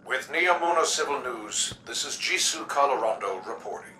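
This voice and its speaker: Bright-voiced, echoey news reporter. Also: male voice, mid-range voice, lively intonation, moderate pace, faint music behind. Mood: somber. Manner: formal, polished. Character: polished, earnest sci-fi city news reporter. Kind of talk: formal broadcast news reporting, game acting. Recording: echoey, distant, faintly noisy broadcast.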